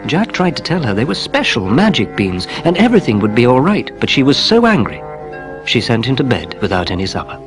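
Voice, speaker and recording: A man reading a children's story in an expressive narrating style. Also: medium pitch, fairly quick. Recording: music playing underneath.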